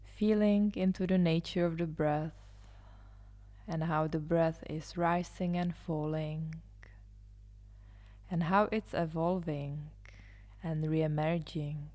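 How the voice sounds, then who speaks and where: Low, slow, hushed woman guiding meditation. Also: fairly even intonation. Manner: soothing, hushed delivery, often trailing into near-whisper. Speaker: yoga nidra meditation guide. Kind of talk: guided meditation. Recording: live instrumental music behind the voice. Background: soft live instrumental music.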